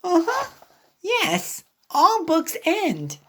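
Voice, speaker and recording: A man voicing a playful, giggly little girl pig in an animated picture-book read-aloud. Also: extremely high pitch, drawn-out words, slight background noise, pitched above the performer's own voice, full character voice. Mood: matter-of-fact. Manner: giggly, eager, exclamatory.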